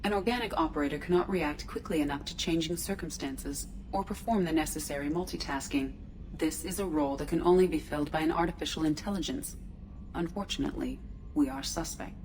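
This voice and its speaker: A woman voicing a starship artificial intelligence in a video game, measured, precise and detached in manner. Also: deep voice, a little mellow, close to an everyday voice, rough recording. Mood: calm, detached. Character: calm, formal female starship artificial intelligence. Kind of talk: scripted video game dialogue. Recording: clear background noise, ship ambience.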